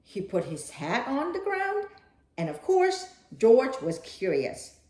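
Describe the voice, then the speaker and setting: Female storyteller for children's read-aloud: very lively intonation, unhurried, playful and dramatic, recorded in an echoey room. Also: fairly high pitch. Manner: animated, dramatic, with playful asides to a young listener. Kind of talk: expressive children's picture book read-aloud.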